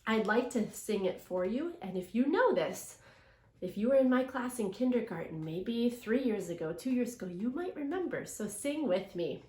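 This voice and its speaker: A woman teacher leading a read-aloud lesson for young children, asking questions and pausing to affirm their answers. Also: fairly high pitch, very animated, a little mellow. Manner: interactive, asks questions and affirms imagined answers. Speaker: elementary English language development teacher. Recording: roomy, echoing sound.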